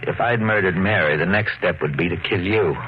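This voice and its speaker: On a muffled, faintly noisy old radio recording, an actor plays a controlling husband with a deep male voice. He is commanding and coolly persuasive, with menace in the 1950s thriller manner. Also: brisk, lightly acted. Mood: quietly threatening.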